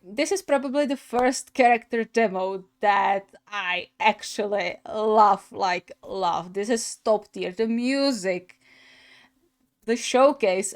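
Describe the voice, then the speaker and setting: A woman hosting a gaming reaction video, commenting casually in an expressive, halting, giggly way. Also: a little high. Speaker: reaction video host, gamer.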